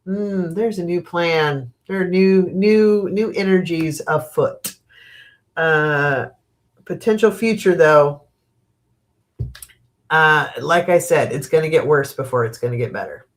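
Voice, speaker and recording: A middle-aged American woman with a sniffly, stuffed-up voice, hosting a live tarot stream at home, rambling slowly with fillers and restarts and laughing at her own asides. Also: low-pitched. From United States. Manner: slow, rambling, thinking aloud with many fillers and restarts. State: sniffly from allergies. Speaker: tarot reader and channel host. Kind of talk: live-stream tarot reading, casual chat.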